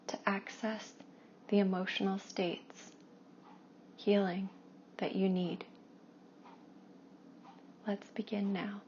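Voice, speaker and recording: A woman leading a guided meditation in a hushed, soothing way, with long pauses and soft, breathy phrase endings. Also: medium pitch. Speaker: meditation guide and Reiki practitioner. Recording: dull lo-fi with faint background noise.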